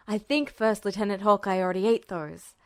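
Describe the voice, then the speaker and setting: A woman's voice: medium pitch, brisk, clean tone, voice pushed down, lightly acted. A woman voicing a tall, cheerful soldier who teases and jokes in a storybook reading. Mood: teasing. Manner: teasing, stage-whispering.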